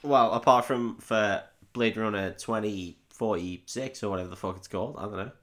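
A man's voice: lowish voice, moderate pace, a bit bright. A man co-hosting a film podcast in casual chat, with a jokey, sweary manner.

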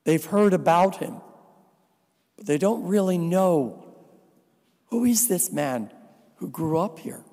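Slow-preaching priest in a reverberant church. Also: male voice, high-pitched, animated, mellow. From New Jersey. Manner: slow, deliberate preaching with dry asides. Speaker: visiting priest. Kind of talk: sermon.

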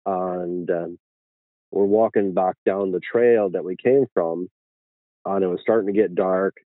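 Irish man, very deep voice, halting with lots of uh and um, dry tinny phone line. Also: no room sound. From Ireland. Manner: hesitant, many fillers and restarts. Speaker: guest. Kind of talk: telling a personal story.

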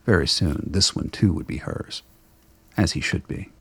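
A man narrating a radio play in a scripted, dramatic storytelling style with a gravelly, husky voice. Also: low-pitched, expressive, normal speed, a bit bright, dry recording.

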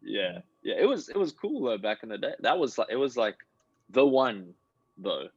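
An American man in casual, conversational podcast chat, with a husky voice and a slightly dull recording. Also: medium pitch, very animated, normal speed, darkish tone, no echo. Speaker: podcast performer.

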